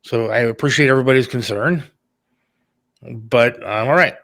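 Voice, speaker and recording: A man's voice: mid-range voice, normal speed, fairly bright tone, no room sound. A middle-aged man talking candidly and casually on a talk show, plain-spoken and a little defensive. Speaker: radio producer and talk show host.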